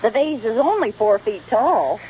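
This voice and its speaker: Radio sitcom mom: high voice, brisk and mock-reproachful, muffled vintage recording with heavy hiss. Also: female voice, very animated, dark tone. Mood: gently scolding. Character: bright young housewife and mother, fondly scolding. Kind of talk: 1940s radio sitcom acting.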